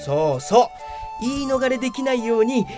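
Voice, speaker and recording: A woman's voice: a little high, big pitch swings, normal speed, darkish tone, faint music behind. A woman playing a young male office worker in an audio drama, self-assured and grumbling. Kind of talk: Japanese audio drama acting.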